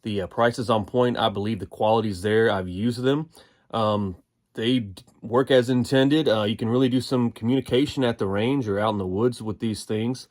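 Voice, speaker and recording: Man, American accent, lower-pitched voice, casual product review, folksy, explanatory, unscripted. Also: steady tone, normal speed, a little mellow.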